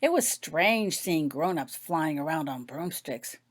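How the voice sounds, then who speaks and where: A woman's voice: deep voice, animated, unhurried. A woman reading her own story aloud as scripted audiobook narration.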